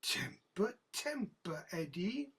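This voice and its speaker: A woman playing a menacing, mocking police inspector in an audio drama, with a husky voice and taunting, mock-sweet delivery. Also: medium pitch, sing-song, slow pace, very noisy. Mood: gloating, taunting.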